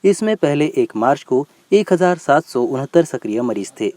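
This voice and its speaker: A man presents scripted news in a medium-pitched voice. His intonation rises and falls in a lively way as he reads each report. The sound is dry, with no room echo. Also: moderate pace. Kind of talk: scripted news narration in a bulletin.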